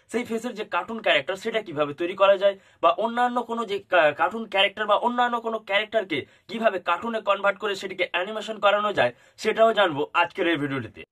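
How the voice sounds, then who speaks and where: A man's voice: sits high, a bit bright, dry recording, clean studio sound. A man explains steps in an instructional tutorial voice-over, recorded a little dull and lo-fi. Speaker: tutorial host. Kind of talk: step-by-step screen tutorial voice-over. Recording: dull lo-fi voice-over, music behind. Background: background music.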